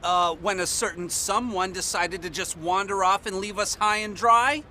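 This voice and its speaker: A man's voice: high voice, steady tone, normal speed, pushed and bright, a little hiss or hum. A man playing a timid, self-deprecating, lovesick young soldier in a video game scene. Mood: chatty. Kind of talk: video game cutscene acting. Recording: music behind the voice.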